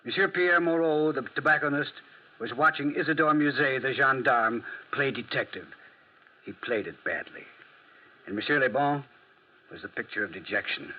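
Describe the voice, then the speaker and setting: A man playing a clever, self-assured French detective in a 1940s radio drama, with a theatrical, drily ironic, deliberate delivery. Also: medium pitch, lightly acted. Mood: wry, narrating. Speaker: actor playing detective and narrator. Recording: muffled 1940s radio recording.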